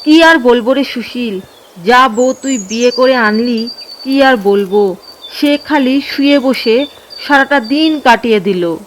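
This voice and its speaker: A woman's voice: fairly high pitch, unhurried, slight background noise, close to an everyday voice, rough recording. A woman playing a sly, jealous older village mother-in-law in a cartoon drama. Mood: feigned grievance. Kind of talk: Bengali cartoon drama dialogue.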